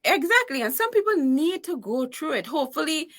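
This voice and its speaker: Woman, from Trinidad and Tobago, podcast guest, bright, emphatic, laughing storyteller. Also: high-pitched, sing-song, brisk. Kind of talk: casual interview chat.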